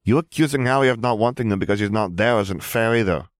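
A man's voice: lowish voice, quick pace, no room sound, studio quality, pitched above the performer's own voice, natural voice. A man voicing a cheeky, easily indignant younger sister, part bird, with a chirpy, protesting manner. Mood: reproachful.

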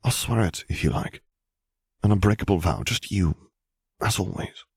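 An affectionate young man in a storybook, read by a male narrator. He speaks softly and reassuringly, placating whoever he talks to, in a very dry recording. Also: low voice, big pitch swings, normal speed, vocal fry, breathy edge, no room sound, full character voice. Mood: earnest. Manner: soft, reassuring.

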